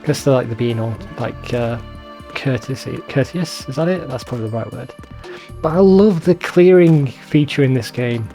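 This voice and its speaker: A man with a mellow, mid-pitched voice chats through a video game. He rambles conversationally and swears casually, with faint music behind him. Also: normal speed. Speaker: let's play host. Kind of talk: casual gameplay commentary.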